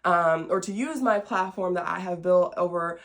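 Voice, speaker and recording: A woman's voice: on the low side, moderate pace. A woman in her late twenties with an American accent, hosting a podcast and chatting casually to the audience in a folksy, self-deprecating way. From small-town Southern Illinois, United States. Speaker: podcast host. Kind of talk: casual chat to camera, introducing a show.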